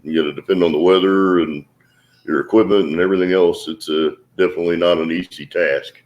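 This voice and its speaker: A man with a very low, deep voice talks casually as the host of a livestream. He gives calm, steady advice at an easy pace. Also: brisk, highs cut off.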